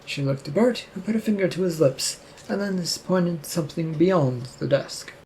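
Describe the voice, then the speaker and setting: A man with a raspy voice reading scripted story narration. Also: a little high, expressive, moderate pace, a little mellow, a little hiss or hum, low-quality recording.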